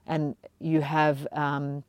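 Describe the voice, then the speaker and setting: Low mellow aussie woman hosting a podcast, lots of ums, encouraging, dry sound. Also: monotone, drawn-out words, clear, resonant tone, no echo. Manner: warm, encouraging, hesitant with many fillers. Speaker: podcast host. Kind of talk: conversational interview.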